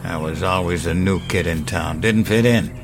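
An actor playing an elderly grandfather in a horror audio drama, folksy, wistful and quietly menacing, with halting, musing delivery. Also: low-pitched, lightly acted. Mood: haunted, ominous. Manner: halting, musing, with sighs and chuckles. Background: music.

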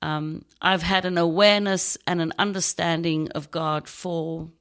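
A middle-aged woman from South Africa with a South African accent, a podcast guest telling her story thoughtfully with many pauses and ums. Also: on the low side, fairly even intonation, fairly bright tone. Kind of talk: casual interview conversation.

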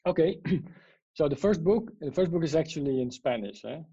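A man's voice: a little high, expressive, moderate pace, gravelly, warm tone, slightly rough, no room sound, lo-fi sound. A man from the Netherlands, a guest in an interview, explaining at length with many fillers and checking "yeah?" questions. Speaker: guest, AI strategist and author. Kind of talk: interview answers.

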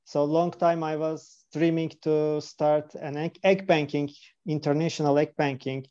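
A man's voice: fairly high pitch, fairly even intonation, unhurried, warm tone. A man from Turkey with a Turkish accent, an embryologist speaking earnestly in non-native English during a remote interview. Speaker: embryologist and clinic director. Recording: dull lo-fi call audio.

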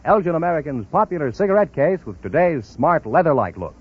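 Male old-time radio announcer, emphatic, animated pitch, rather high, muffled sound. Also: brisk, slightly rough. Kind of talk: 1950s-style radio announcing. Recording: dull old radio recording.

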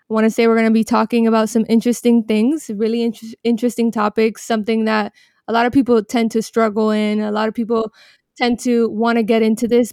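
A woman co-hosts a casual podcast, speaking steadily with a slightly high voice. Her pitch stays flat and even. The sound is dry with no room echo. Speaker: podcast co-host.

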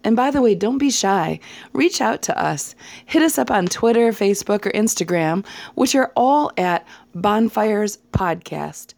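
Hoarse woman hosting a podcast. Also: medium pitch, lively intonation, unhurried, a little mellow. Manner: upbeat, grateful. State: hoarse from a cold. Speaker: podcast host. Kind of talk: scripted podcast intro.

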